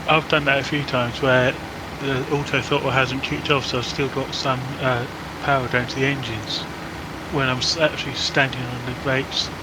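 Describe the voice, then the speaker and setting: A man's voice: mid-range voice, flat delivery, moderate pace, background noise. A man talking about planes with fellow sim pilots in a matter-of-fact way. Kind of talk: casual chat on voice channel.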